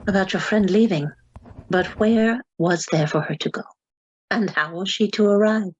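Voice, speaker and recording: An actress playing a formal, insistent questioner in a radio play, speaking with deliberate precision. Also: female voice, mid-range voice, dull audio, slight background noise, lightly acted. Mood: probing. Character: probing, insistent, formal female interviewer.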